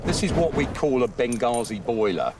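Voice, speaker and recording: A man in his fifties, a museum curator, explaining things calmly and matter-of-factly outdoors on a lapel mic. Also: mid-range voice, moderate pace. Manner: calm, matter-of-fact, explaining step by step. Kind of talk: explanatory on-site chat. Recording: outdoor noise in the background.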